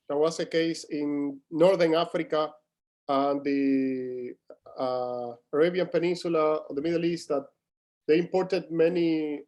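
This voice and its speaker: Higher-voiced Cuban man, halting delivery. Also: a little mellow. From Cuba. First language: Spanish. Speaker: guest, history academic. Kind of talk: scholarly interview conversation.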